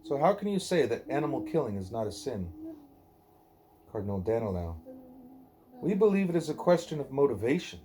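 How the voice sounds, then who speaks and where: A man reading a devotional dialogue aloud in measured, deliberate phrases. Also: mid-range voice, sing-song. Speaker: reader of a devotional book; parent reading aloud at a child's bedtime.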